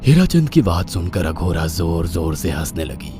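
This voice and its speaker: A man's voice: deep voice, very animated, normal speed, warm tone. A man giving a dramatic, scripted narration of a horror story. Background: music.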